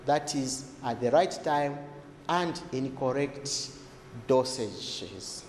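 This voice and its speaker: A man speaking as a health expert, explaining in a deliberate, emphatic way that repeats key words. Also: medium pitch, animated. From Zambia. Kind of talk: explanatory interview talk. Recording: echoey, reverberant room with background noise.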